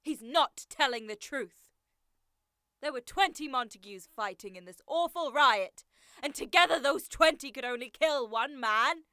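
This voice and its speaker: An anguished noblewoman in a Shakespeare audio drama. Her extremely high, sharp voice draws the words out slowly and melodramatically, demanding revenge. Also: lively intonation, smooth, no room sound, exaggerated voice. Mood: vengeful. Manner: wailing, melodramatic.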